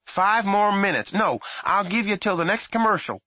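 A man's voice: high voice, animated, moderate pace, pushed and bright, dry recording, voice pushed up, exaggerated voice. A man voicing an impatient, nagging middle-aged mother in a storybook reading. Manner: insistent, scolding.

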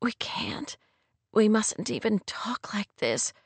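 A woman voicing a torn, anxious young woman in love for a romance audiobook, in a soft, breathy, pained murmur. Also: on the low side, steady tone, slow pace, dry recording. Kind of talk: romance audiobook character dialogue.

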